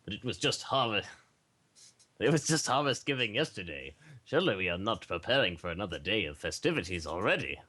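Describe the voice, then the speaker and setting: A man's voice: lowish voice, sing-song, fairly quick, sharp tone, heavily acted. A man voicing a puzzled, regal pony princess with formal wording, in an amateur story reading.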